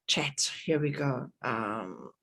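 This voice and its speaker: A woman's voice: very deep voice, lively intonation, slow pace, vocal fry, a bit bright, a little hiss or hum. A middle-aged woman with a South African accent hosting an online workshop over a video call, chatty, with many fillers and restarts. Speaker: workshop host.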